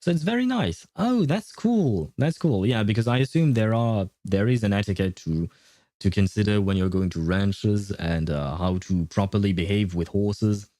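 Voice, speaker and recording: Guy streamer chatting to his viewers, lowish mellow voice, kinda halting and chuckly, dry close sound. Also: lively intonation, dry recording. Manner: hesitant, halting, often chuckling. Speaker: livestream host. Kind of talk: casual chat with live stream viewers.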